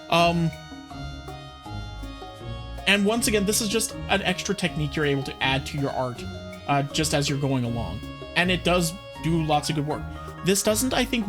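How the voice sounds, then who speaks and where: Relaxed rambling reaction host, loud music behind. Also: medium pitch. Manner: relaxed, rambling, with many fillers. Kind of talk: casual art commentary. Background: background music.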